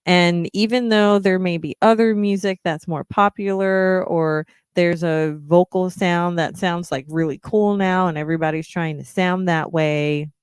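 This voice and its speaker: Podcast host, female, slow encouraging delivery, smooth voice, dry close mic. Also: on the low side, steady tone, no room sound. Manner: earnest, encouraging, many ums. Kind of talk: reflective solo podcast talk.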